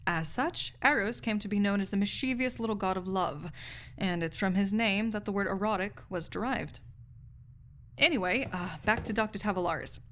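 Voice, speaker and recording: A woman's voice: medium pitch, normal speed, fairly bright tone, close to an everyday voice. A woman playing a snarky, skeptical university research assistant in an audio roleplay, speaking articulately in a conversational, lecturing way with dry asides. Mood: wry, lecturing.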